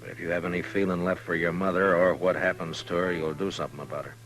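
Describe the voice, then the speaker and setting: Stern, weary American marshal, very low terse voice, old radio western with faint music. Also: male voice, flat delivery, fast, a bit bright, poor audio. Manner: terse, gruff, no-nonsense. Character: stern, weary male frontier marshal, plain-spoken.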